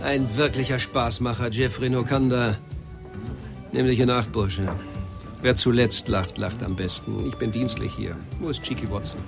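Actor as a menacing, sardonic police captain. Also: male voice, medium pitch, fairly even intonation, normal speed, vocal fry, music in the background, close to an everyday voice. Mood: sardonic, threatening. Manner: sarcastic, pressing, officious. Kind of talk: German radio documentary drama acting.

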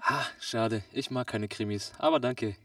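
A man playing a naive, excitable customer in a comic audio play, wide-eyed, puzzled and easily astonished. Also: mid-range voice, unhurried, dry recording, clean studio sound, far from the mic, close to an everyday voice. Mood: considering.

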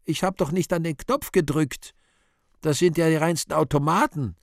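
A man's voice: on the high side, normal speed, no room sound, clean studio sound, pitched above the performer's own voice, close to an everyday voice. A man playing a regal, condescending and easily appalled Virgin Mary in a radio play, in a genteel, exclaiming manner. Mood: exasperated, condescending.

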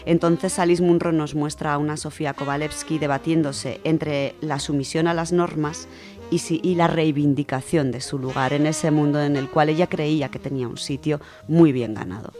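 A woman's voice: sits low, steady tone, normal speed, a little mellow. Spanish woman reviewing books over music. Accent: Spain. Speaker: literary segment contributor on a radio show. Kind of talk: conversational book review on radio. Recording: music under the voice. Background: background music.

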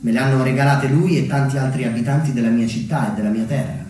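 A man from Umbria in Italy performing a reflective theatrical monologue as a solo storyteller, measured and pausing for weight. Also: mid-range voice, darkish tone. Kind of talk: theatrical monologue, narrated memoir. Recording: very echoey, distant mic, music underneath.

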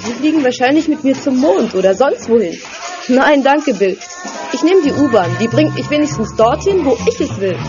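High-pitched feisty young woman. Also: moderate pace. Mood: annoyed, sarcastic. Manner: pert, expressive, exclaiming. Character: feisty, sarcastic eighteen-year-old party girl in London. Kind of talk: German radio play acting. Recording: dull sound with music behind.

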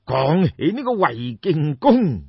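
A man doing a gloating, husky Cantonese king. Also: on the high side, sing-song, heavily acted. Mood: smug, menacing. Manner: boastful, drawn-out, laughing. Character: gloating, jovial, ruthless ancient Chinese king. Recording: dull, roomy lo-fi recording.

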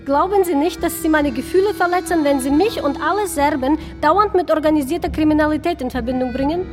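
An actress as a grieving Serbian widow, proud and easily affronted, in a radio crime drama. Her high voice carries through long reverb, with music underneath. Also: fairly quick, natural voice. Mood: indignant.

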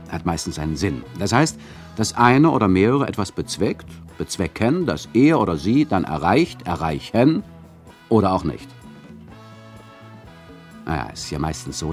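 An actor playing an ironic, chatty radio play narrator who lectures the listeners in a mock-didactic, hesitant way. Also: male voice, deep voice, a little mellow.

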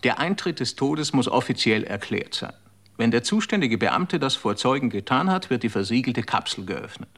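A man's voice: mid-range voice, sing-song, moderate pace, fairly bright tone. An actor in a German radio play playing a worldly, somewhat condescending man who explains how his society works. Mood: explaining. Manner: lecturing, slightly condescending.

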